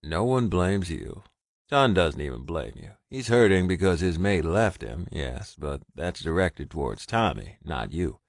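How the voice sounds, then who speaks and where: A man's voice: fairly low pitch, big pitch swings, moderate pace, few pauses, dry recording, clean studio sound. A man reading the part of a rugged, protective cowboy alpha in an audiobook, folksy and commanding. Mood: soothing.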